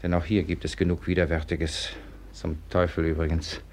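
A man playing an irritable, proud young Russian gentleman in a 1950s German radio drama, agitated and sharp-tongued. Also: low voice, little pitch movement, normal speed, natural voice. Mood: tense, grudging.

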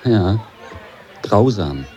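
An actor playing a concerned colleague asking probing questions in a radio drama. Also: male voice, lowish voice, expressive, extremely slow, muffled recording, over music, a crowd in the background. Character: concerned colleague questioning a teacher about bullying.

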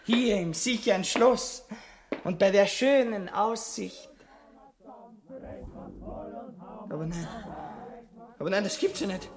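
An actress playing a genteel, excitable young princess in a farcical radio play, speaking in an affected, theatrical way. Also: female voice, mid-range voice, measured pace, crowd noise, natural voice. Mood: soothing.

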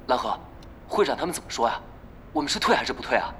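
A man's voice: medium pitch, big pitch swings, fast, noisy background, lightly acted. A man acting an uneasy guild officer who questions his peers in an audio drama. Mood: pressing, uneasy. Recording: loud music behind the voice.

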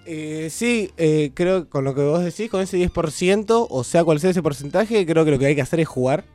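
A man's voice: fairly high pitch, normal speed, darkish tone, faint music behind. A man with a Rioplatense Spanish accent chatting as a radio guest, hesitant and thinking aloud. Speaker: interview guest. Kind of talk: casual radio conversation.

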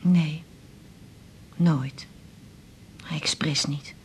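Anxious, husky-voiced Dutch widowed mother. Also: deep voice, fairly even intonation, very slow, heavily acted. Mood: anxious, grieving. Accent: Netherlands Dutch. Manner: hesitant, repeats words, plaintive. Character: anxious, self-effacing widowed mother, stubbornly proud. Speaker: supporting actress in radio serial. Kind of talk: 1980s radio drama dialogue.

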